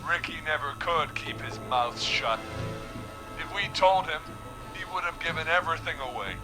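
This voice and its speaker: An actor playing a trapped, defensive man in a horror game, halting and evasive as he justifies himself. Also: on the high side, over music, close to an everyday voice. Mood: defensive, self-justifying. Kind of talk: scripted game voice acting.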